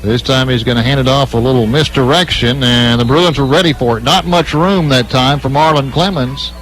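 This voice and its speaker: A male radio announcer delivers live football play-by-play at a middling pitch. He follows the action as it unfolds, in the manner of a game broadcast. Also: moderate pace, a bit bright, low-quality recording.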